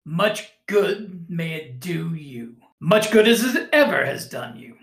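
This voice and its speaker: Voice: mid-range voice, sing-song, slow pace, gravelly, roomy sound, heavily acted. A reader voicing a bitter, miserly old man who answers with curt scorn, read from a storybook. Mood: scornful.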